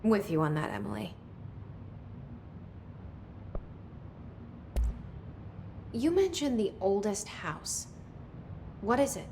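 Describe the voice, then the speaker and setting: An actress playing a wary, curious newcomer to a secret agency in a video game, asking terse questions. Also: female voice, medium pitch, sing-song, normal speed, vocal fry, a little mellow, a little hiss or hum. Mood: questioning.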